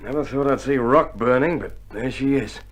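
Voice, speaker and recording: A man's voice: mid-range voice, normal speed, natural voice. A man acting a seasoned, watchful Australian soldier in an old radio war drama, talking in matey slang. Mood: grim, matey. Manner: slangy, matey commentary on the battle. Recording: noisy old vinyl recording, battle sounds behind.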